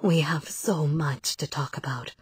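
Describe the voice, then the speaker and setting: A devout nun reading letters to her son in a video game. Her voice is low and very breathy, tender yet solemn, and recorded close and dry. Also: unhurried, no room sound, heavily acted. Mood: wistful, solemn. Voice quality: breathy, soft. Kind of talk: letters read aloud in game cutscene.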